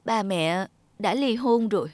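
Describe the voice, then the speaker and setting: A woman's voice: mid-range voice, animated, measured pace, a little mellow, no echo, close to an everyday voice. A woman playing an aggrieved, emotional wife and mother in a cartoon drama. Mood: sad, apologetic.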